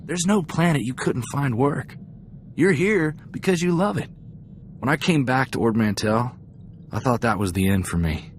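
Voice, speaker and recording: A man's voice: mid-range voice, lively intonation, moderate pace, lightly acted. An actor playing an earnest, idealistic young freighter crewman in a video game, talking in a folksy, chatty way. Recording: clear background ambient noise.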